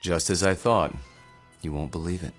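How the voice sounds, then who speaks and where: An actor playing a world-weary, grave and rueful immortal man in a radio drama, speaking in a measured, philosophical way. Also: sits low, lightly acted. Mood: impassioned. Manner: measured, philosophical, deliberate delivery. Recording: radio drama with music underneath.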